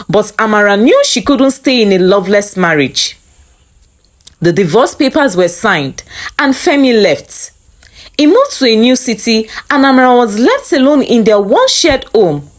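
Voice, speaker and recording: A woman narrates a scripted dramatic story. Her voice sits fairly high and moves through a very animated range of pitch as she tells it.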